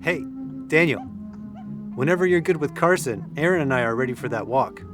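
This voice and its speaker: A man's voice: mid-range voice, expressive, fairly quick, music in the background, lightly acted. A man playing a supportive, mild-mannered college student in his early twenties in an audio drama. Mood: casual. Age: young adult.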